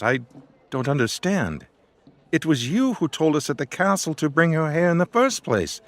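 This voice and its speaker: Man acting a deferential guardsman, very animated pitch. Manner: deferential, questioning. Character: tall guardsman, deferential and puzzled palace soldier. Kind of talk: fan audiodrama acting.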